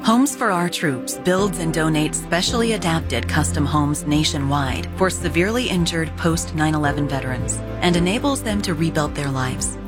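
Woman reading a charity spot, pretty low voice, music underneath. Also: normal speed, few pauses. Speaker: ad narrator. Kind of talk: scripted charity ad read. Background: music bed.

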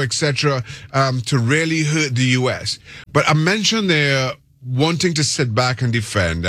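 A man with a Trinidadian accent talks as a soccer analyst in a measured, articulate way, circling back on words for emphasis. His voice sits at a medium pitch, and the sound is dry. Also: a bit bright, no room sound. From Trinidad and Tobago. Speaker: soccer pundit, interview guest. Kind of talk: considered soccer analysis in conversation.

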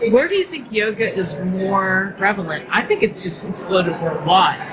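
A woman's voice: mid-range voice, expressive, normal speed, a crowd in the background, poor audio. A woman hosting an interview, chatting casually and in an upbeat way with her guest. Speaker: podcast host.

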